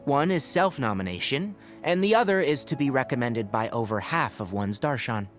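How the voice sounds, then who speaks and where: A man voicing a sensible, dry-witted young forest ranger who explains things calmly. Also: mid-range voice, moderate pace, soft background music, lightly acted. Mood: level. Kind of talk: video game voice acting.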